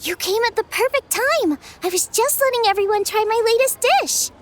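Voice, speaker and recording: A woman's voice: extremely high pitch, normal speed, slight background noise. A woman voicing a cheerful, eager young chef in a game, bubbly and enthusiastic.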